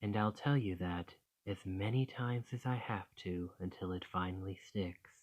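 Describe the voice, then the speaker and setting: A man's voice: low voice, moderate pace. A man playing an affectionate, slightly possessive dragon boyfriend in an ASMR romantic roleplay, speaking tenderly and reassuringly. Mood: soothing, affectionate.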